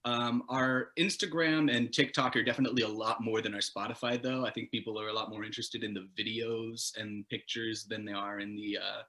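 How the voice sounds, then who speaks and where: A man with a bright, forceful voice pitched a little low, chatting as a musician being interviewed. He rambles casually and animatedly, with a self-deprecating, sweary looseness. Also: fairly even intonation, a little fast, hardly any pauses. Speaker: guitarist in a band, interview guest.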